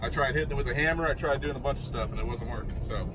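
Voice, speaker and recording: Trucker narrating over loud engine noise. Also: male voice, mid-range voice, racing. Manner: laid-back, chatty, occasional cursing. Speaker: truck driver vlogger. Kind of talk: casual narration while driving. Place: inside a semi-truck cab on the road. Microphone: dashboard camera mic.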